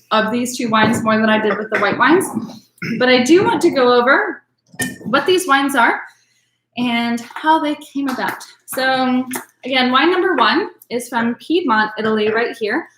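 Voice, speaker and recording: A woman's voice: high-pitched, a little slow, fairly bright tone, reverberant, slight background noise, rough recording. A woman teaching an online wine class in a chatty way, full of ums, calling on attendees by name. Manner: chatty, filler-heavy, addresses attendees by name.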